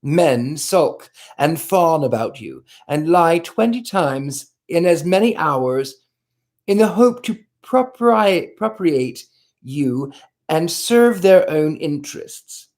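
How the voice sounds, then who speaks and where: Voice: medium pitch, animated, slow, a little mellow. A reader voicing a worldly, cynical aristocratic prince in a novel read aloud, speaking with composed scorn. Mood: scornful. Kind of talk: audiobook character voice.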